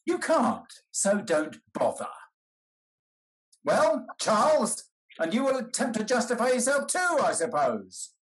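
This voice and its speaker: A man's voice: high-pitched, wildly swooping pitch, unhurried, full character voice, poor audio. Older man playing a blunt, shrewd old uncle. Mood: scornful. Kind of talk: theatrical period comedy acting over video call. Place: indoor room at home. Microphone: computer mic on video call.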